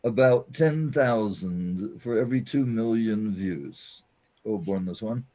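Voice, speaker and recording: Radio play role: man as knowing cosmic companion, declamatory, animated pitch, mellow tone, patient explainer. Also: takes it slowly. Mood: grandly explaining. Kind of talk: scripted audio drama acting.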